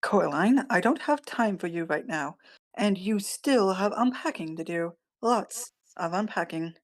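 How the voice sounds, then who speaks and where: A woman's voice: mid-range voice, animated, measured pace, natural voice. A woman playing a distracted, impatient mother of forty, curt and dismissive, in an amateur screenplay table read. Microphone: video call.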